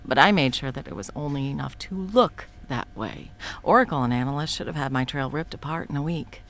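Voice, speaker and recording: A woman's voice: sits low, brisk. A woman playing a cruel, scheming female supervillain in an audio roleplay, taunting and profane, shifting between whispers and outbursts. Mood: menacing.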